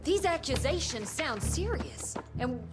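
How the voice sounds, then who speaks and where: High, hesitant female aide. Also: sing-song, unhurried. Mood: placating. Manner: hesitant, placating. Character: meek, deferential female aide to an official. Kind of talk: cinematic video game acting. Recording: loud music behind the voice.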